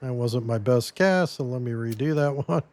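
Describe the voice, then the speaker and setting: A man losing his voice a little, giving a relaxed step-by-step gameplay tutorial as commentary. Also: mid-range voice, moderate pace. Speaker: game guide creator.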